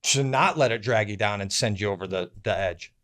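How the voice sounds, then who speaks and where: A man's voice: on the low side, a little fast, fairly bright tone. A man co-hosting a TV interview, asking questions conversationally and sometimes searching for words. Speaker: TV show co-host.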